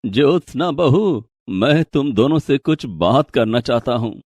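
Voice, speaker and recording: A man voicing a kindly, earnest, gently authoritative older father-in-law in a cartoon story. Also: mid-range voice, animated, measured pace, dry recording, studio quality. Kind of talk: animated moral story dialogue.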